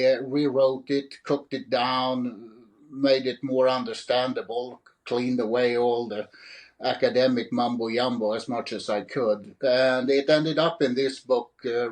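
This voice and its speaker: A middle-aged man from Sweden with a Swedish accent, answering interview questions as an author with frequent fillers and restarts. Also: mid-range voice. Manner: hesitant, many fillers and restarts. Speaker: author and guest.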